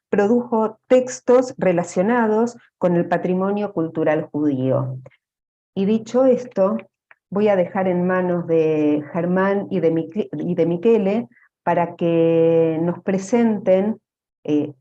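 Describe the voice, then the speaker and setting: A woman's voice: on the low side, mellow, lo-fi sound. A woman moderating a seminar, reading out formal introductions of the speakers and giving instructions to the participants. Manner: reads brisk introductions, then gives practical instructions.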